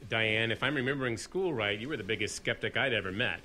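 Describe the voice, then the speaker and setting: A man's voice: lowish voice, fast talker, bright, forceful tone, a little hiss or hum. A man acting a blunt, suspicious outdoorsman in an audio drama, with a sarcastic streak. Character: suspicious, blunt outdoorsman named Giff, a monster witness.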